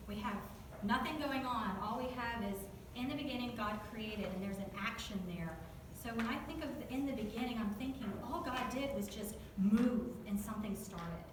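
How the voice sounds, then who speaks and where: A woman's voice: fairly high pitch, distant mic. A woman with a Southern US accent giving a chatty, joking devotional talk to a church group and asking them questions. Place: church hall, echoey. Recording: echoey hall recorded from afar, noisy.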